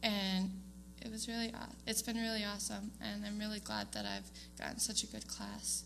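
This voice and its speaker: A woman's voice: medium pitch, sing-song, moderate pace, gravelly, background noise. A young woman giving a casual, hesitant speech to her church congregation, with frequent ums and small laughs. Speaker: student giving award speech. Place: church sanctuary.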